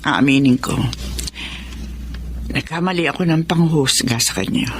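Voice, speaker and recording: An actress playing a worried, doting middle-aged mother in a Filipino radio melodrama, expressive and pleading. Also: sits low, unhurried, background noise. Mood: concerned, coaxing. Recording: drama with music bed behind.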